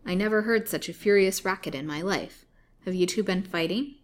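A woman voicing a stern, inquisitive gentleman doctor from a storybook, questioning in a demanding way. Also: mid-range voice, moderate pace, natural voice.

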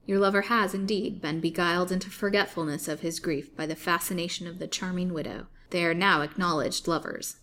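A woman's voice: lowish voice, a little fast, hardly any pauses, fairly bright tone, a little hiss or hum. A woman reading the lines of a sly male doctor backing up a villain.

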